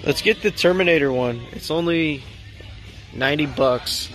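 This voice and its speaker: A man's voice: on the high side, normal speed, fairly bright tone, faint music behind. A young man filming on his phone in a large indoor store, making teasing, wisecracking comments and laughing often. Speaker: vlogger filming. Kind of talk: casual joking commentary. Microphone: handheld phone camera.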